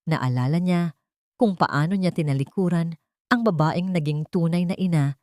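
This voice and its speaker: A woman's voice: fairly low pitch, normal speed, warm tone, dry recording, clean studio sound. A woman narrating a scripted dramatic story in a solemn, emotive storytelling manner.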